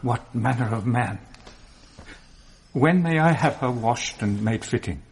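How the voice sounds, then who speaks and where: An actor playing an anxious, pious and protective prior in a medieval radio drama, troubled and expressive. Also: male voice, medium pitch, unhurried, a little hiss or hum. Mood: horrified.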